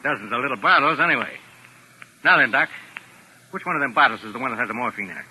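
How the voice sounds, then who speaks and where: A man's voice: mid-range voice, expressive, fast talker, bright, forceful tone, breathy edge, heavily acted. A man acting a tough, bossy American gangster in a 1940s radio drama, with slangy, curt, menacing talk. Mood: pressing. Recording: noisy vintage 1940s radio recording.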